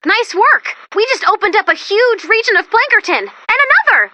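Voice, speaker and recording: A woman voicing a perky, earnest robot mission helper in a video game, chirpy and expressive, with dry quips. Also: very high voice, normal speed, heavy background noise. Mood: excited, delighted. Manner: chirpy, expressive, dryly quippy. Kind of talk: animated video game character dialogue.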